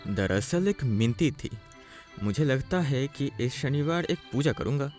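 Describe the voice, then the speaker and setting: Young village man for cartoon, male actor: earnest, reassuring, animated mid-pitched delivery over prominent music. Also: measured pace, lightly acted. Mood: polite, curious. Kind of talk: Hindi cartoon story acting.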